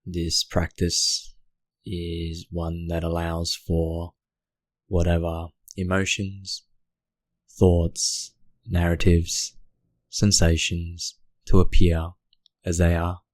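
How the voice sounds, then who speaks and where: A man guiding a meditation in a gentle, unhurried way, speaking in short phrases with long pauses. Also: deep voice, completely flat delivery, dry recording. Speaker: meditation guide. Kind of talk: guided meditation narration.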